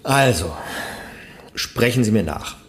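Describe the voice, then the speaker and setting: A man's voice: lowish voice, animated, slow pace, fairly bright tone. An actor playing an eager, pedantic man who coaxes his pupil through a made-up language in a radio play. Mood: coaxing. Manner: pedantic, coaxing, enthusiastic. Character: eager male language teacher, pedantic enthusiast.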